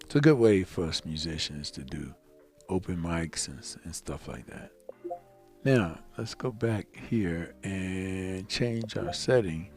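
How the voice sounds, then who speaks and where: A man's voice: sits low, darkish tone. A man giving a casual step-by-step tutorial as a video creator, rambling and often repeating himself.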